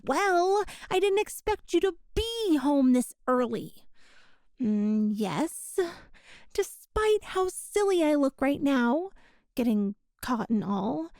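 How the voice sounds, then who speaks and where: A young cat-girl burglar, played by a woman, sheepish and flustered, answering back when caught. The voice sits high and the words come slowly and unhurried. It is recorded close and dry, with no room sound. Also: big pitch swings, darkish tone, no room sound. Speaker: ASMR roleplay performer. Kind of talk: ASMR audio roleplay.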